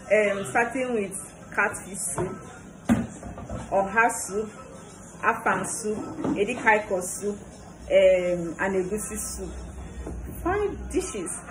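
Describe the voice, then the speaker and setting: Young nigerian lady vlogging from her kitchen, animated chatty complaining, lots of background noise. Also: medium pitch. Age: young adult. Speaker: cooking vlogger. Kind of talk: casual vlog chat. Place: small kitchen at home, indoors. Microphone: phone at arm's length. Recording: noisy room ambience.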